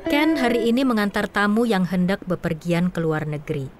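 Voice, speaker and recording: A woman narrating a scripted audio drama in an even, measured storytelling manner. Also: mid-range voice, soft background music.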